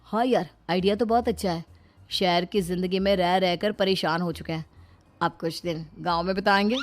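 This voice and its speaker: A woman's voice: on the low side, fairly even intonation, moderate pace, lightly acted. A woman voicing an idle, sarcastic young son-in-law who grumbles in a comic story. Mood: keen. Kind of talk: acted comedy story dialogue.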